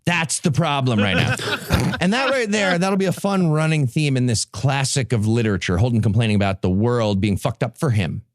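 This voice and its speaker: Sarcastic man with a very animated delivery. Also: medium pitch, dry recording, clean studio sound. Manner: sarcastic, profane. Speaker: podcast host. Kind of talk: comedic book recap to co-hosts.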